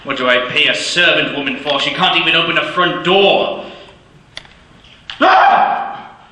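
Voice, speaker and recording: An actor playing a miserly, grumbling old moneylender in an old-time radio play, with a gruff, scolding way of talking. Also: male voice, a little high, unhurried, bright, forceful tone. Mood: uneasy. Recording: echoey hall with faint hiss.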